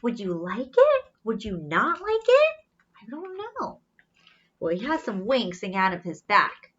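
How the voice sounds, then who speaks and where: Woman, children's storybook reader, high-pitched, swooping expressive delivery, talks directly to kids. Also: muffled recording. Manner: expressive, questioning, with gasps and drawn-out emphasis. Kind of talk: animated read-aloud with simple asides to children.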